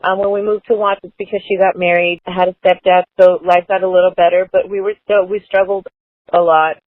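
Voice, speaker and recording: Lower-voiced woman, earnest, tinny sound. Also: flat delivery, fairly quick, no room sound. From Los Angeles, United States. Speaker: guest. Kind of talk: casual conversation. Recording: dry, phone-like narrow sound.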